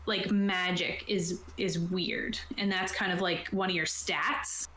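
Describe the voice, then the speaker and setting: A woman's voice: lowish voice, fairly even intonation, takes it slowly, a bit bright. A woman casually explaining a tabletop game, partly reading from a rulebook, in an informal, conversational way. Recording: roomy, echoey sound.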